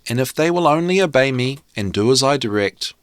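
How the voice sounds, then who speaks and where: A vain, bossy young king from a fairy tale, performed by a man reading aloud. He declaims pompously, with pitch that swings widely, in a dry, close sound. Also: lively intonation, brisk, dry recording, lightly acted. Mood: self-satisfied. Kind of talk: storybook character reading.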